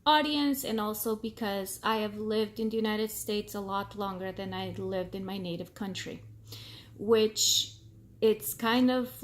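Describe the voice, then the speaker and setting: A woman in her thirties whose first language is Portuguese, speaking English with an accent in a casual vlog, pausing often with ums as she searches for words. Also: medium pitch. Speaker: vlogger. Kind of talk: casual talking-to-camera vlog.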